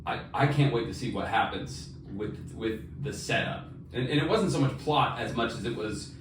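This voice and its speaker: A man's voice: mid-range voice, expressive, normal speed. Echoey and slightly distant, man, casual podcast co-host, slangy, enthusiastic, faint background noise. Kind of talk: casual banter between friends about books.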